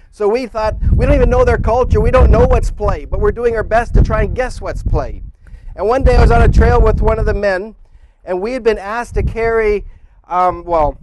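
A middle-aged male preacher with a high-pitched voice, recorded dry and close. He speaks conversationally, like a storyteller, acting out his inner thoughts as he preaches. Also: no room sound. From grew up in Indonesia. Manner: conversational, animated storyteller who acts out his own thoughts. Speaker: preacher, former missionary. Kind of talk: sermon with personal storytelling.

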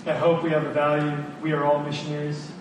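A high-pitched man delivers an informal, earnest sermon. He repeats phrases for emphasis in a conversational way. The sound is heavily reverberant and picked up from a distance, with clear noise. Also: monotone, fast. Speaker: preacher. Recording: very echoey room, distant mic, clear noise.

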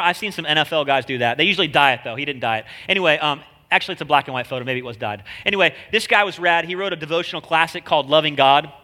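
A man's voice: on the high side, bright, forceful tone. A man with a nasal voice, a guest preacher giving a casual, joking sermon full of slang. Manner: chatty, joking, slangy delivery.

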